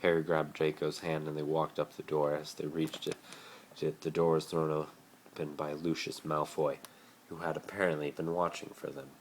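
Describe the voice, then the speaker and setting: Amateur male reader, extremely low voice, dramatic story narration with stumbles, noisy phone recording. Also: flat delivery, normal speed. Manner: stumbles over words and corrects himself. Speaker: amateur reader of fan fiction.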